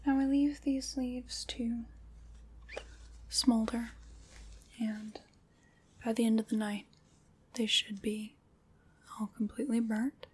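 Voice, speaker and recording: A woman playing a gentle, solemn witch in an ASMR roleplay, whispering in a breathy, soothing, ceremonial way. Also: fairly high pitch, little pitch movement, slow, long pauses, a little hiss or hum. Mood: gentle, reverent. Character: gentle, solemn witch leading a Samhain ritual.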